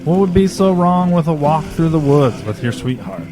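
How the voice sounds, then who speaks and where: An actor playing an easygoing, affectionate husband in an audio drama. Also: male voice, on the high side, big pitch swings, moderate pace. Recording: music behind the voice.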